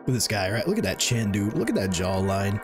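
A man's voice: fairly low pitch, big pitch swings, a little fast. A man in his thirties giving casual, jokey gameplay commentary, often repeating himself and swearing, on a close desk mic. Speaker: gaming video host. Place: indoor gaming setup. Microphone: close desk microphone and headset. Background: game music.

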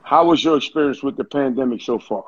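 Guy hosting a chill video call interview, slangy, bit raspy, muffled lo-fi sound. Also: medium pitch, quick pace. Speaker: interview host. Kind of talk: casual chat interview. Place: indoor room over a video call.

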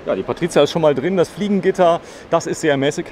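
A man's voice: on the high side, fast talker, a little mellow. A man doing a casual, chatty on-site interview as a reporter. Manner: chatty, adds short tag questions. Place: caravan at an indoor trade fair. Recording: clear background noise of busy trade fair.

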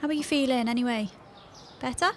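A woman's voice: sits high, fairly quick, slight background noise, close to an everyday voice. A woman playing a caring, breezy young British friend in an audio drama, chatty and reassuring. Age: young adult. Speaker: actor in a fiction podcast. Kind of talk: naturalistic audio drama dialogue.

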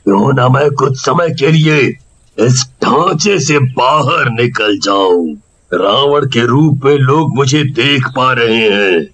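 A man voicing a menacing man-eating ghost demon in a cartoon, with a drawn-out, gloating delivery. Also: mid-range voice, expressive, fairly bright tone. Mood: scheming.

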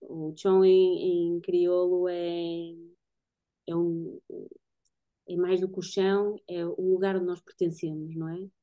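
A woman's voice: lowish voice, level tone, slow. A woman in her thirties with a European Portuguese accent, thoughtfully explaining her work in conversation, searching for her words. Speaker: guest photographer and artist. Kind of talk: casual explanatory conversation about own work. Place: home bedroom-living room, indoors. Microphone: computer mic on a video call. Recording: dull, lo-fi video-call audio.

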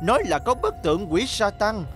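A man's voice: high voice, big pitch swings, normal speed, fairly bright tone, faint music behind. A man voicing a scheming older evil inventor in a dubbed cartoon, grandiose and gleefully wicked. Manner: theatrical, grandiose. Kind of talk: dubbed children's cartoon acting.

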